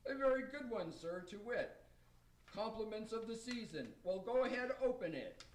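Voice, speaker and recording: A man as jolly old Saint Nick, high voice, hearty rhymes, generous host, radio play, distant mic. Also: expressive, normal speed, a little hiss or hum. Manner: hearty, rhyming theatrical delivery. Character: jolly, hospitable old Santa Claus, Saint Nicholas. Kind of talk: amateur radio theater acting. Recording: distant, roomy live radio stage recording.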